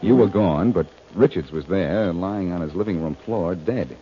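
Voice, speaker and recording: An actor playing a wry, confident amateur detective in a 1940s radio drama, self-assured and quick with a wisecrack. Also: male voice, sits low, close to an everyday voice. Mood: serious, confiding. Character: wry, confident amateur sleuth, adult man. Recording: dull, noisy vintage radio recording.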